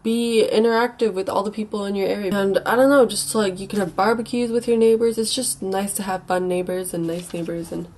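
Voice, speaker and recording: A woman's voice: mid-range voice, fairly even intonation, brisk, hardly any pauses, a little mellow, slight background noise. A young American woman with a congested, stuffy nose giving casual advice as a vlogger, in an informal, rambling and hesitant way. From United States. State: mildly sick with a stuffy nose.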